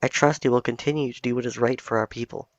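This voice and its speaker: A wise, ancient cat spirit guardian speaking in solemn, measured tones, as a man reads it out. The voice has a gravelly edge, and the dull, dry recording carries faint game music underneath. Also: medium pitch, fairly even intonation, no echo, natural voice.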